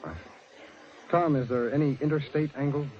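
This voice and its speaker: A man's voice: fairly high pitch, moderate pace, natural voice. An actor playing an affable, methodical federal agent, obliging and orderly in his questions, in 1950s radio drama style. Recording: muffled, noisy old radio broadcast.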